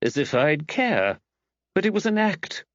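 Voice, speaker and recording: A man's voice: a little high, very animated, measured pace, a bit bright, dry recording, highs cut off, voice pushed up, heavily acted. A man voicing an arch, gossipy village woman priest with wry, exaggerated mimicry in an audiobook. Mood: mocking. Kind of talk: audiobook character dialogue.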